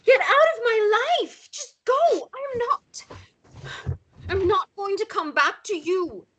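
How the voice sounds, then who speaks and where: A woman's voice: very high voice, expressive, takes it slowly, a bit bright, exaggerated voice. An actress playing a hurt, defiant woman with an intense, emotional manner in a drama table read. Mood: distraught. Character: hurt, defiant mother standing up to her ex.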